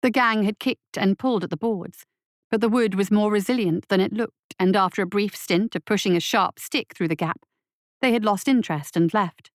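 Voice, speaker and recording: A woman's voice: mid-range voice, moderate pace, no room sound. Woman narrating fiction, dry close sound. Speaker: story narrator.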